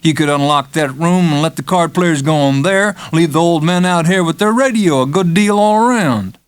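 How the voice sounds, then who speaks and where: A man's voice: on the high side, normal speed, smooth, voice pushed up, natural voice. A man voicing a brash, brawling American gambler with folksy, blunt, wheedling speech in an audiobook. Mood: cajoling. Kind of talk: audiobook character acting.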